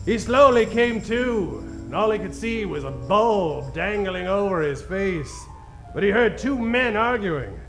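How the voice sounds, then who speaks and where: A man's voice: high-pitched, big pitch swings, a little slow, full character voice. A man playing a theatrical host and narrator of an old-time radio drama spoof. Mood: announcing. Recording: echoey stage with loud music bed.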